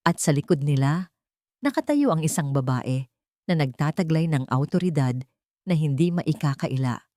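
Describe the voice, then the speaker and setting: A woman's voice: low voice, animated, moderate pace, mellow, dry recording, clean studio sound. A woman with a Filipino accent narrating a scripted dramatic story.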